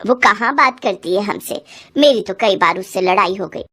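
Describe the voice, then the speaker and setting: Woman doing a miserly, scolding cartoon mother-in-law, pitched low, all grumbles. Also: lively intonation, normal speed, pushed and bright. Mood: grumbling. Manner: grumbling, complaining exclamations. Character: stingy older mother-in-law, fussy and scolding.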